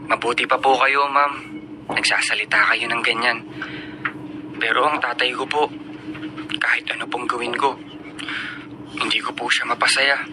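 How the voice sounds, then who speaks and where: Actor as an earnest, respectful teen student, radio drama, noisy broadcast. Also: male voice, mid-range voice, fairly even intonation, normal speed, pauses often, close to an everyday voice. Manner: polite, deferential, often subdued. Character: earnest male high school student, top of his class, hurt by his cold father. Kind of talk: Filipino radio drama acting.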